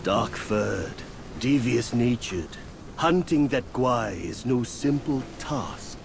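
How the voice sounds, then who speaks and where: Sly, grandiose yaoguai mentor for a game cutscene: gravelly, unhurried, poetic and pompous, over loud music. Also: male voice, mid-range voice, animated. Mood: wry, welcoming. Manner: theatrical, poetic, self-important. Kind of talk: video game cutscene acting.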